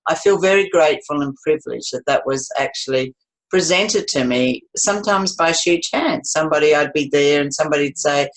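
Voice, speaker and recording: A woman's voice: sits low, a little fast, rough recording. A woman in her seventies, telling her life story to an interviewer in a rambling, chatty way, with many restarts. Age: 70s. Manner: rambling, warm storytelling with frequent restarts and laughs. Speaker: interview guest.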